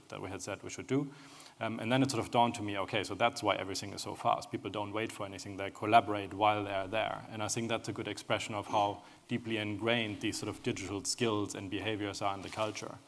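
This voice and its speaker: A man's voice: on the low side, vocal fry. A man with a German accent, speaking as a panelist and telling a story with many restarts. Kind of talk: panel discussion.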